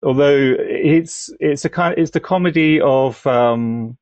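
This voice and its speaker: A man talking as a podcast panellist, thoughtful and halting, building his points gradually in conversation. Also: a little high, a little mellow, no echo, muffled recording. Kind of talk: conversational film discussion.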